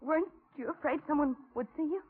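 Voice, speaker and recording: Woman acting a high-voiced frightened young waitress. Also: quick pace, close to an everyday voice. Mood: frightened, pleading. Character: frightened young carhop recounting her nightmare ordeal. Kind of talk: 1940s radio drama acting, first-person narration. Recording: tinny 1940s radio recording.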